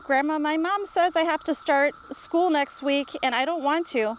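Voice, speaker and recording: A worried, inquisitive young child in a storybook, as read aloud by a woman. The voice is high and full of questions, and there is slight background noise. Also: normal speed, lightly acted. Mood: worried, curious. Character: anxious, inquisitive young grandchild starting kindergarten.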